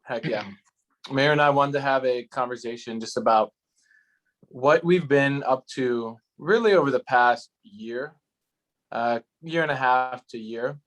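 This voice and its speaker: An upbeat man hosts a relaxed conversation, friendly and easygoing as he asks his guest questions. His voice sits at an ordinary middle pitch. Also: unhurried, rough recording. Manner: upbeat, friendly interviewer with fillers like "right on". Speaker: host. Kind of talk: casual interview chat.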